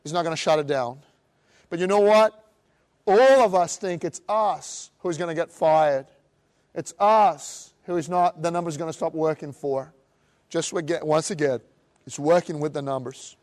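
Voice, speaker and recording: A middle-aged man from Brazil with a Brazilian Portuguese accent, giving an animated seminar talk and acting out other people's voices. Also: on the high side, moderate pace. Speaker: motivational speaker. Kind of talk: live seminar presentation.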